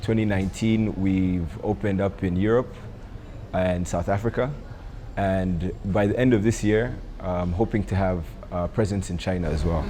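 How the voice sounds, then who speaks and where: A young man from Rwanda answering interview questions about his coffee business, pausing often with ums and uhs. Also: deep voice, fairly even intonation, dark tone, slight background noise. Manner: hesitant, many ums and uhs. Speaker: coffee entrepreneur.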